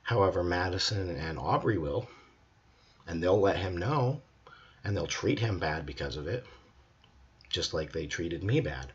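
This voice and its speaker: A hoarse young man, a drama student, talking earnestly and reflectively in a personal video journal. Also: sits low, expressive, measured pace, pauses often, highs cut off. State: hoarse, voice hard to hear.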